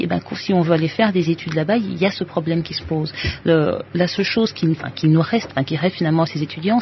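A woman from Kinshasa speaks as a guest on a radio interview. She is measured and agreeable and often restarts her sentences. The sound is muffled like a broadcast, with faint hiss behind her. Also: on the low side. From DR Congo, Kinshasa. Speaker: guest, physics and maths teacher.

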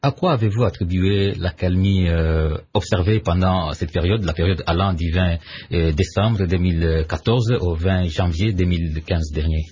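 Casting: low, husky male radio interviewer, formal, dull lo-fi sound. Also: moderate pace, a little mellow. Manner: formal questioning, with fillers. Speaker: radio news host.

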